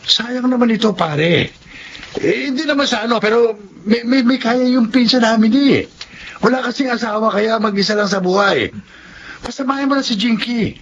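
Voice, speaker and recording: A man's voice: high-pitched, a bit bright. A middle-aged man playing a hearty, persuasive village drinking buddy in a radio drama. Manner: hearty, coaxing. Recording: muffled, faintly noisy drama audio.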